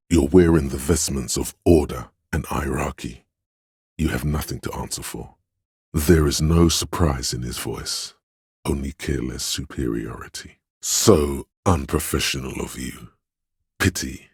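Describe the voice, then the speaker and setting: An actor voicing a stern, domineering inner voice of authority in a video game, speaking in a measured, commanding and pompous manner. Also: male voice, very deep voice, creaky, husky, no room sound, clean studio sound, exaggerated voice. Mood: stern, condescending. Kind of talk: dramatic game narration, measured and weighty.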